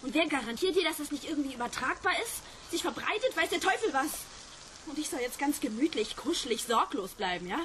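Radio play: fretful, sceptical young student, high pitch, sardonic edge, faint room sounds behind. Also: female voice, lively intonation, a little fast, pushed and bright, a little hiss or hum. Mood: worried, reproachful. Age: young adult. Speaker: actor in a radio drama. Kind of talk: naturalistic German radio play acting.

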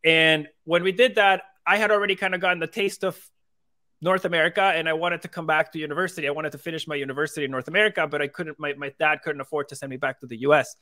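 A man's voice: fairly high pitch, fast. A man in his thirties from Venezuela, telling his story as a guest in a casual interview over a video call with earbuds. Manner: animated, gestures while talking, frequent restarts. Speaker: crypto company co-founder, guest.

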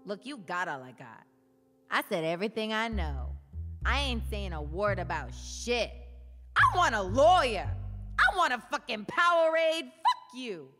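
A woman's voice: on the high side, sing-song, a little slow, sharp tone, in a large hall, soft background music, exaggerated voice. An actress playing a defiant, foul-mouthed, streetwise woman prisoner in a comic noir radio play, brash, slangy and confrontational. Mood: angry, stubborn.

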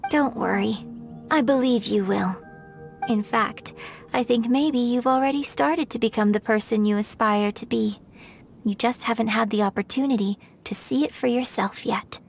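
A woman playing a refined, gentle young opera singer in an anime game, speaking politely and eloquently. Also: on the high side, normal speed, creaky. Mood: gentle, sincere. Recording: faint game music behind.

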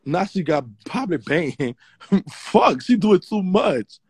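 A man's voice: sits high, sing-song, slow pace, slightly rough, no echo, clean studio sound. A man hosting a late-night phone livestream, sassy and swearing. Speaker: livestream host. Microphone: phone front camera.

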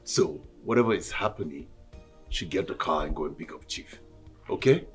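A man playing an authoritative boss who gives brisk orders in a screen drama. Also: sits low, animated, faint music behind. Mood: commanding.

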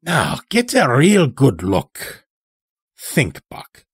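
Man voicing a weary old rustic werewolf elder, higher-pitched. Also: huge pitch swings, very slow, slightly rough, no room sound, heavily acted. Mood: weary, coaxing. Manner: folksy, rustic, ungrammatical drawl. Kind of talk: dramatic horror audio storytelling.

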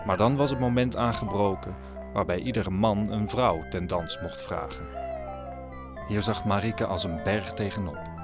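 A man's voice: on the low side, moderate pace. A man narrating a story in a scripted audio drama style. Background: music.

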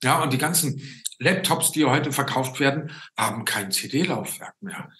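Male host, 60s. Slow, halting, conversational interview delivery over a roomy video call. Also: mid-range voice, expressive, pushed and bright. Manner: halting, often tagging sentences with a questioning 'ne?'. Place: indoor room at home, on a video call.

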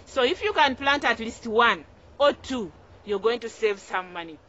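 A young woman with a Ugandan accent hosts an informal instructional vlog. Her voice is fairly high and very bright, with a forceful edge. She is encouraging and emphatic, often repeating phrases to drive a point home. The outdoor camera recording is slightly dull and lo-fi. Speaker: farmer and video host. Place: small outdoor home garden.

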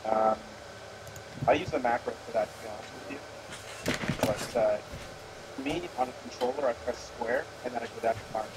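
Loud background noise surrounds a man on voice chat. He speaks at a middling pitch, chatting casually with other gamers. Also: fairly even intonation, normal speed, pauses often. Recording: very noisy voice chat, loud ambience.

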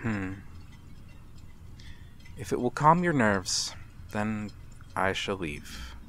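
A man playing a courteous, melancholy vampire in a dramatic ASMR roleplay, speaking formally and with measured pauses. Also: fairly low pitch, lively intonation, fairly bright tone, full character voice. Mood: gentle, reassuring. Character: courteous, melancholy male vampire, lonely and mysterious. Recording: clear background ambient noise. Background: night harbor ambience.

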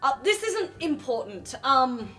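A woman acts out an awkward young male student in a roleplay game, with a high voice and slow, hesitant delivery. He is earnest and apologetic. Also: big pitch swings, pushed and bright, faint music behind, exaggerated voice. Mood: nervous, apologetic. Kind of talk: improvised tabletop roleplay.

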